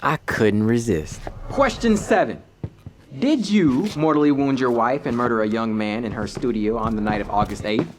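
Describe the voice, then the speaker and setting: An actor playing a sardonic ghost who narrates a first-person audio drama in a wry, folksy storytelling manner. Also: male voice, medium pitch, expressive, moderate pace, noisy background, lightly acted. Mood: suspicious, wry, then mocking. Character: sardonic male ghost narrating his own haunting.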